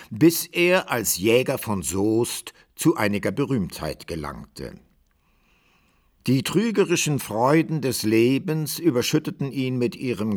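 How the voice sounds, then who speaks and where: An actor playing an old-time author who narrates a radio drama in measured, dramatic and archaic phrasing. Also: male voice, mid-range voice. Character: the author as measured, literary storyteller.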